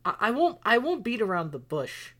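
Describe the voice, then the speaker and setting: A woman acting an exasperated, volatile character in an audio drama, pleading in halting, stammering, emphatic speech. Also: mid-range voice, very animated, fairly bright tone. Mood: tense, explaining.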